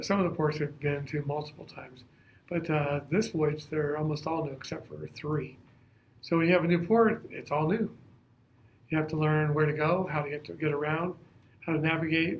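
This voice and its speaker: A man's voice: a little high, slight background noise, low-quality recording. A man from Colorado, a faculty member, explaining things plainly in a casual interview. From Colorado, USA.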